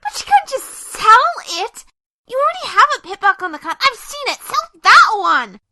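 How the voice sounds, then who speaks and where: An excitable, bookish young mare, acted by a woman talks in a high, bright voice that swoops widely. She is an earnest, easily flustered history buff in an audio drama, recorded close and dry. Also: moderate pace, full character voice. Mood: protesting. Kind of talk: animated audio drama acting.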